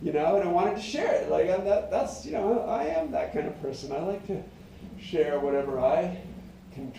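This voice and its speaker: A middle-aged man with a high tenor voice and a mellow tone wanders through his thoughts, pausing and restarting often. His voice rings in a very echoey room and sits away from the mic. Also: distant mic, a little hiss or hum. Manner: meandering, reflective, many fillers and restarts. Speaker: singer-songwriter. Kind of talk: rambling casual monologue.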